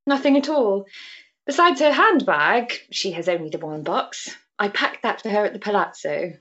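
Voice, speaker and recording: A woman playing a forthright, compassionate gentlewoman in a period drama, speaking in a direct, firm manner. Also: medium pitch, animated, normal speed, muffled recording, close to an everyday voice. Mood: brisk. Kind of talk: period audio drama acting.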